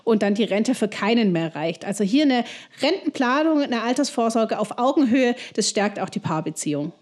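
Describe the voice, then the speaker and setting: Woman explaining finances, fairly high voice. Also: expressive, a little mellow. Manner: explanatory, measured, frequent "ähm" fillers. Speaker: financial planning guest expert. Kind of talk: podcast interview answers.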